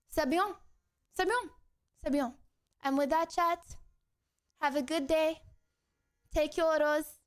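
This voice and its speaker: Casting: woman playing a snooty French madame for livestream comedy, very high voice, slow delivery, theatrical put-on French accent. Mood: playful, smug. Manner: pompous, theatrical, repetitive. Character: haughty, flamboyant French lady food snob with mustache. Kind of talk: playful in-character livestream chat.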